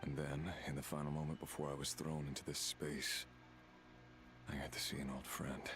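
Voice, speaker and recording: Very deep, brooding fallen villain. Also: male voice, flat delivery, background noise. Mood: wistful. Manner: slow, solemn, halting. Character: brooding, remorseful fallen villain corroded by the Abyss, resurrected. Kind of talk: dramatic video game dialogue. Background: music.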